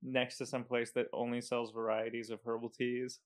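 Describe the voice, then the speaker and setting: A man's voice: medium pitch, monotone, moderate pace. A man hosting a casual podcast chat, rambling and laid-back, trailing off with fillers; he came from Romania as a child. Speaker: podcast host.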